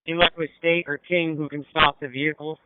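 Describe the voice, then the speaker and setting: A man's voice: fairly high pitch, level tone, a little fast, clear, resonant tone, dry recording. A man, a police officer, calling in a pursuit over two-way radio in urgent, clipped phrases. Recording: noisy narrow-band police scanner radio.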